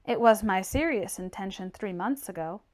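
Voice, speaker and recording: A woman voicing a quiet, reserved young male clerk in an audiobook, polite, guarded and formal. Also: mid-range voice, animated, normal speed, voice pushed down, natural voice. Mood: reserved, questioning. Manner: restrained, soft-spoken, formal. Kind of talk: dramatized audiobook character reading.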